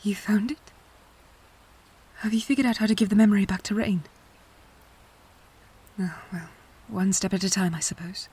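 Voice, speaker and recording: A woman acting in an audio drama as a tender, anguished countess and adoptive mother, speaking in a halting, hushed way. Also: mid-range voice, fairly even intonation, noisy background, close to an everyday voice. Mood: pained, anxious.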